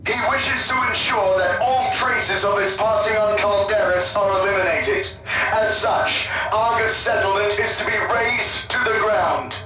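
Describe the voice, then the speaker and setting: An actor playing a zealous, commanding space marine officer in a video game, giving formal, stern military orders. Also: male voice, high voice, a little slow. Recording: echoing, distant voice under loud music.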